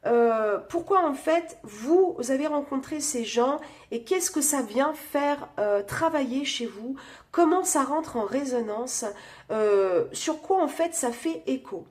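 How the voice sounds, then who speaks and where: A woman's voice: high voice, animated, slow, a little mellow. A woman with a cold reading tarot cards in casual chat, speaking through her nose with many fillers and talking straight to her viewers. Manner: chatty, many fillers, addresses viewers directly. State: has a cold, nasal voice. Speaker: tarot reader.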